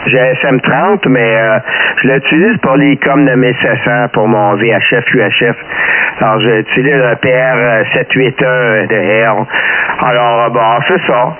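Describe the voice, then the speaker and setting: Casting: Québécois male radio hobbyist, average pitch, casual and rambling, heard through muffled narrow-band radio. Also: a little slow, slight background noise. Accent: Québécois French. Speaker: amateur radio operator. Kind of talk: casual radio contact chat.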